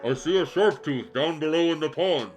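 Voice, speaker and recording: A man voicing a grave masked male spirit in a Darth Vader style, speaking in slow, solemn, ominous pronouncements. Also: a little high, animated, lightly acted.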